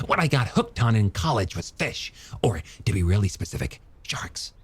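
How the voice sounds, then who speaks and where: A man's voice: fairly low pitch, big pitch swings, normal speed, slightly rough, heavily acted. A man voicing an enthusiastic, friendly young male scientist in an audiobook, chatty and given to stammering. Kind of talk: audiobook character dialogue.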